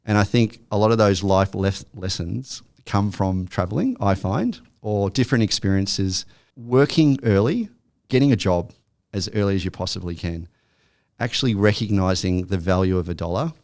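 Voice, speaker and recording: A man in his thirties co-hosting a podcast, agreeing quickly and giving practical advice. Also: deep voice, a little mellow, no echo, studio quality. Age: 30s. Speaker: podcast co-host. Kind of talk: casual chat.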